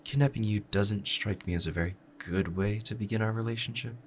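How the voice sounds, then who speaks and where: A man playing a calm, proud assassin in love, both menacing and tender, talking to the listener in a measured, formal, confiding way in an audio roleplay. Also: on the low side, slight background noise, pitched above the performer's own voice, close to an everyday voice. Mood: tender, regretful. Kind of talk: scripted romantic ASMR audio roleplay.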